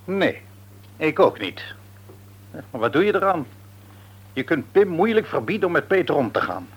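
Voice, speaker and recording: An actor playing a reasonable middle-aged father in a radio play, gently probing with mild irony. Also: on the high side, big pitch swings, normal speed, breathy edge, exaggerated voice. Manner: questioning, mildly ironic. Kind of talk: Dutch radio play acting.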